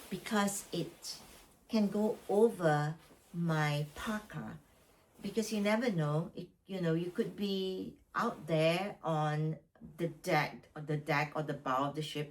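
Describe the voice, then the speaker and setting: A woman from Malaysia talking casually and plainly through things step by step, as a solo travel vlogger. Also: low-pitched. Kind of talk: casual packing vlog. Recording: distant mic, slight background noise.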